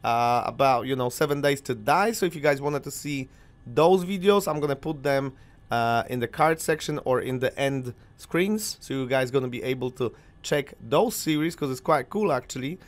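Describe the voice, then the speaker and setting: A man's voice: mid-range voice, expressive, normal speed. A young man from Poland whose first language is Polish, rambling casually with fillers as he commentates a video game on a headset mic. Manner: chatty, rambling, lots of fillers. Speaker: gaming channel host.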